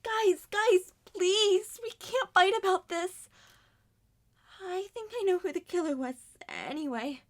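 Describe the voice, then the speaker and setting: A woman's voice: extremely high pitch, slow. A woman voicing an anxious, timid young female cartoon character in an amateur roleplay drama, with a nervous, pleading, stammering manner.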